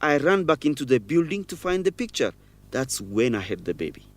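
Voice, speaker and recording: An actor playing a guilt-ridden young man in an educational radio drama, speaking earnestly and haltingly with emotion. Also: medium pitch, very animated, natural voice. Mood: anguished, regretful. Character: guilt-ridden young man, former orphan, confessing a shameful secret.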